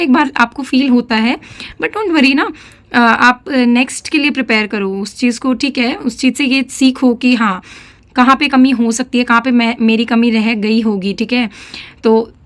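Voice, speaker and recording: A young woman with a slightly sore throat giving casual, chatty advice in a vlog and often checking in with her listeners. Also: on the high side. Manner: chatty, mixes English phrases, frequent "theek hai" check-ins. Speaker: defence exam aspirant vlogger.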